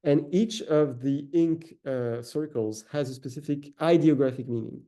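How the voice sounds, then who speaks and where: A man's voice: fairly high pitch, moderate pace, mellow. A man whose first language is French, speaking with a French accent, giving an academic lecture with many "uh" fillers and self-corrections. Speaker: researcher giving a talk.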